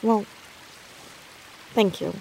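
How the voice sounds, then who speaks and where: A nervy, methodical teenage girl sleuth in an audio drama. Her voice is mellow and unforced, with an earnest, hushed delivery as she reasons things through. A light layer of ambient noise sits behind her. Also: very slow, rough recording. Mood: shy. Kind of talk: naturalistic audio drama dialogue.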